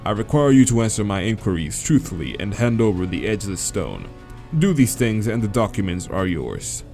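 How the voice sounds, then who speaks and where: A man's voice: on the low side, sing-song, darkish tone, faint music behind. A man voicing an imposing, demonic owl man in a visual novel, pompous and formal in his speech. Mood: businesslike, imperious. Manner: pompous, formal, measured wording.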